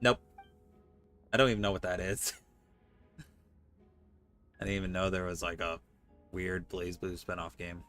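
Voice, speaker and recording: A man's voice: low-pitched, flat delivery, measured pace, pauses often, a bit bright, faint music behind. A man chatting casually as he streams a video game and answers his chat. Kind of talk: casual game livestream chat, reading dialogue aloud.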